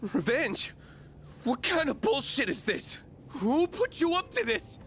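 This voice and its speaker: High-pitched, terrified young man. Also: sing-song, measured pace, a bit bright, a little hiss or hum, heavily acted. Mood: disbelief. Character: terrified foul-mouthed young man cornered by avengers. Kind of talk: video game voice acting.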